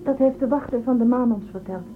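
A woman's voice: on the high side, brisk, narrow, tinny audio, close to an everyday voice. An actress playing an earnest, naive young woman space traveler in a radio drama, speaking politely and literally. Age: young adult. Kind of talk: Dutch radio drama acting. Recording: thin band-limited, noisy old radio recording.

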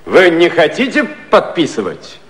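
An actor playing a firm, formal American envoy in a satirical 1950s radio play, declaiming in an official manner. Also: male voice, on the high side, wildly swooping pitch, measured pace, fairly bright tone, heavily acted.

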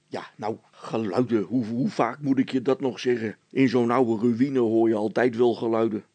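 A man's voice: mid-range voice, fairly even intonation, normal speed, dark tone, dry recording, natural voice. A man voicing a blustering village policeman who stammers, repeats himself and is secretly scared, in a storybook reading. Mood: scornful.

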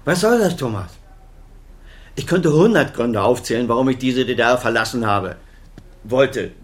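A man's voice: mid-range voice, expressive, moderate pace, lightly acted. A man acting in a naturalistic radio drama as a middle-aged doctor and father, defensive, self-justifying and pleading.

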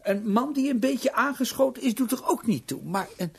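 Middle-aged husband, raspy, very animated pitch, scolding, exasperated, dismissive, radio drama. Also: male voice, normal speed, heavily acted. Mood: scolding, indignant. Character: stern, reproachful middle-aged businessman husband, humiliated and dismissive. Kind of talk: Dutch radio play acting.

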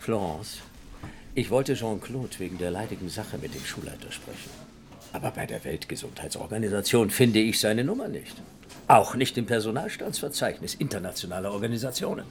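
Formal, earnest council official in radio drama: lowish voice, animated intonation, faint noise. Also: male voice, brisk. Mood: polite, probing.

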